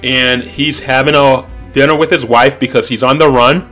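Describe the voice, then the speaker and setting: A man's voice: medium pitch, steady tone, moderate pace, bright, forceful tone. Male podcast host, 30s, blunt and opinionated, casual monologue, faint music bed.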